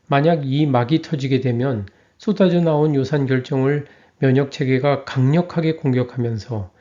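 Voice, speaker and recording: A man reading a scripted health lecture in an even, measured way. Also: medium pitch, muffled recording.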